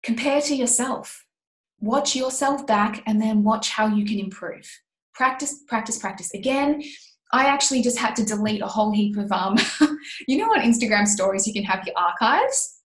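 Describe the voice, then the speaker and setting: A woman in her thirties coaching a mastermind group in casual talk, animated and laughing often as she acts out her past self. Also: fairly high pitch, moderate pace, echoey room, low-quality recording.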